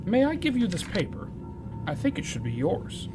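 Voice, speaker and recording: Man acting as stout elderly gentleman, higher-pitched, animated swoops, courteous, quietly sinister, radio drama. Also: normal speed, music in the background. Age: older. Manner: overly courteous, formal. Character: kindly-seeming, sinister stout elderly gentleman, politely menacing.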